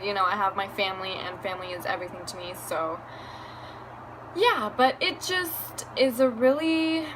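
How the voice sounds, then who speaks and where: A young woman from Venezuela telling a candid, rambling story time for her vlog, laughing now and then. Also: mid-range voice. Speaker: beauty vlogger. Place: room at home with a fan running. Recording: fan hum in background.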